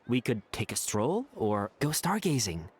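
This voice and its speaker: A woman voicing a gentle, caring young best friend in a video game, eager to help. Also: extremely low pitch, big pitch swings, a little slow, slightly rough, heavily acted. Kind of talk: video game character acting.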